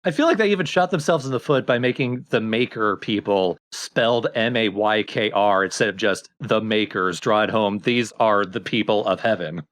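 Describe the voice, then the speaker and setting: A man with a somewhat low voice gives casual gaming commentary. He speaks as a co-commentator in an unscripted conversation, offering his opinions as they come. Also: normal speed, hardly any pauses, a bit bright.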